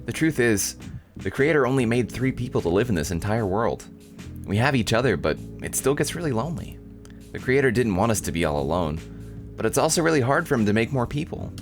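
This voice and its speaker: Male voice doing a cheerful young anime girl, eager, pleading, raised pitch, lively intonation, music behind. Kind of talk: visual novel character dialogue.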